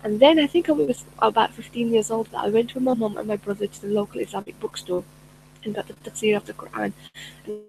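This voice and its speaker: A middle-aged woman whose first language is Urdu speaks earnestly and casually to her viewers as a personal development coach. She often pauses on fillers and restarts her sentences, with a faint hum in the background. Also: mid-range voice, fairly even intonation, creaky, darkish tone, rough recording. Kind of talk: casual spoken talk to camera.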